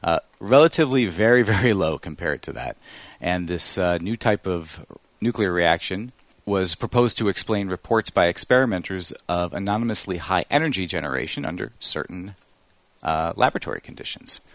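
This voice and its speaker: Deep-voiced man presenting a podcast segment. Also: expressive, moderate pace, dry recording.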